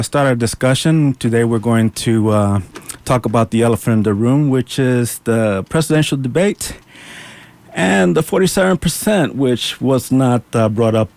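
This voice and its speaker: A young man hosting a college radio show, introducing it in an upbeat, announcer-like way that turns a bit halting as he goes. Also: medium pitch, creaky, a little mellow. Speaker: student radio show host.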